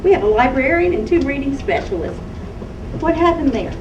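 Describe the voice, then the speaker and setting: A woman's voice: high voice, expressive, a little fast, muffled recording. A woman teacher instructing young children in a school library, projecting her voice across the room and often checking in with questions. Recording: echoey, noisy room, distant microphone.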